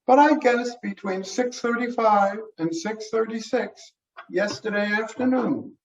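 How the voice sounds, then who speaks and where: A man voicing a brisk, businesslike female medical examiner in a mystery story reading. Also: high-pitched, voice pushed up. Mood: matter-of-fact. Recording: roomy, muffled, distant mic.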